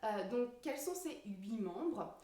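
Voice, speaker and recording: A woman's voice: medium pitch, expressive, takes it slowly, warm tone. A woman giving an informal explanatory yoga lesson, searching for her words as she goes. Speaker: yoga teacher. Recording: roomy echo, distant microphone.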